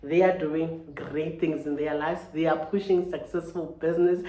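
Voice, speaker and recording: A woman's voice: deep voice, fairly even intonation, moderate pace, mellow. Earnest woman, roomy echo. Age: 30s. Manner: earnest, repeats "right?" and "you know" often. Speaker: vlogger. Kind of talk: casual motivational talk to camera.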